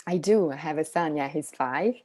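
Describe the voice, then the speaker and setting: A woman speaks with a very low, dark and relaxed voice. She explains things as an interview guest in a casual, informal way, with plenty of conversational filler phrases. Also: moderate pace. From Switzerland. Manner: informal, hedging with "like" and "you know". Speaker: interview guest, Montessori parenting coach.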